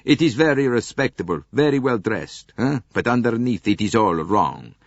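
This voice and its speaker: A man's voice: medium pitch, animated, normal speed, dry recording, pitched above the performer's own voice. A man voicing a beaming, voluble, good-natured Italian male salesman with a slight Italian accent who talks in an expansive, gushing way, on a dull lo-fi tape.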